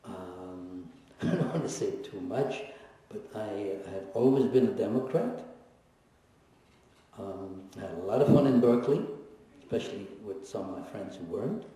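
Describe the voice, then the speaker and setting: A man in his seventies giving a personal testimony at a lecture, reflective and wry, pausing often and teasing his audience. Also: fairly low pitch, expressive, mellow. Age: 70s. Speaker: guest speaker, Holocaust survivor. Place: lecture hall. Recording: echoey hall recorded from far off.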